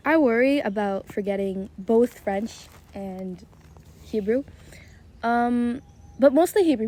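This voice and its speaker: A woman's voice: on the high side, dark tone. A young woman, in her teens, with an American accent, speaking hesitantly in a casual podcast chat. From Israel. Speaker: podcast co-host.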